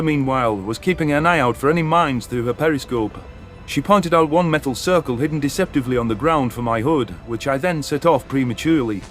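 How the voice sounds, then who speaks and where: A man narrating a dramatic first-person science fiction story as an audiobook. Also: medium pitch.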